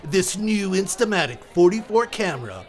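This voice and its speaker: A man's voice: sits high, sing-song, moderate pace, some chatter behind. A man playing an eager, polite camera salesman in an old-time radio comedy. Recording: faint audience behind.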